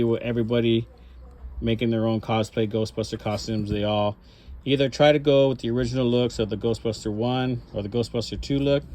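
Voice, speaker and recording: A man's voice: medium pitch, darkish tone, slight background noise. A man presenting his cosplay prop modification in a casual show-and-tell style, rambling through it step by step. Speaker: hobbyist presenter showing a cosplay prop mod.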